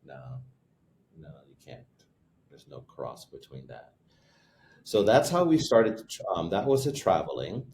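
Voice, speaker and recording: A man with a very deep voice recounts how his business began, in a loose, conversational way. He searches for words and corrects himself as he goes. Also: wildly swooping pitch, unhurried, long pauses, darkish tone, slight background noise. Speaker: guest, wine retreat co-host. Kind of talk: casual chat, storytelling.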